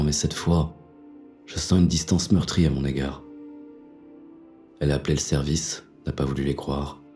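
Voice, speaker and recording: A man's voice: very deep voice, mellow. A man narrating in the first person as a weary, grim inspector in an audio drama. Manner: weary, grim inner monologue.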